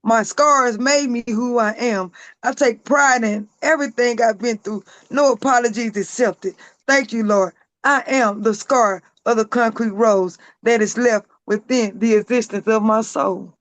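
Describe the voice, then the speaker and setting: Guest author, female, raspy and hoarse, emphatic spoken-word reading, dry close sound. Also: fairly high pitch, steady tone, a little slow, dry recording, low-quality recording. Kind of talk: reading her own poetry aloud.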